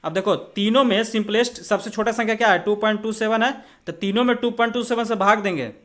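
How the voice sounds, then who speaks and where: A man's voice: high voice, fast talker, a bit bright. An Indian man tutoring exam students in a conversational way, often asking rhetorical questions as he works step by step. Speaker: exam coaching teacher. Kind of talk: spoken maths and chemistry tutorial.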